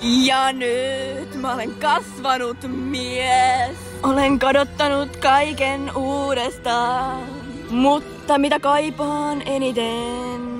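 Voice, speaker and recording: A woman's voice: sits high, flat delivery. A young woman fan-dubbing several characters at once, rattling off crude lines and giggling. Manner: fast, crude, giggly delivery. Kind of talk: amateur fan dub acting. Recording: music playing clearly behind.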